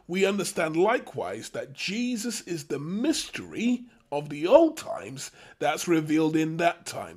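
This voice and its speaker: A man with a fairly high-pitched voice leads a Bible study. He speaks in measured, emphatic phrases, in the manner of someone teaching and praying over scripture.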